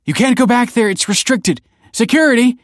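A man's voice: lowish voice, animated, fairly quick, dry recording, clean studio sound. A man voicing an earnest, apologetic female ministry counsellor with a formal, reassuring way of talking, in an audiobook. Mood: alarmed. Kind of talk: audiobook character acting.

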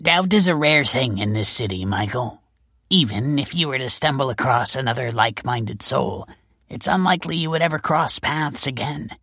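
A world-weary female detective speaks with dry, sardonic calm, voiced by a male audiobook narrator. The voice sits higher and brighter, with a gravelly, husky grain. The sound is close and dry. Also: moderate pace, no echo. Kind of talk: audiobook character voice.

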